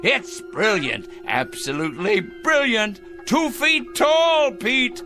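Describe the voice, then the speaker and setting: Deranged self-righteous crank, high excitable pitch, wildly swinging intonation, theatrical radio drama ranting, muttering to himself. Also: male voice, slow, music in the background, exaggerated voice. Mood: manic glee. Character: gleeful deranged crank bent on judging evil people. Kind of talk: theatrical audio drama acting.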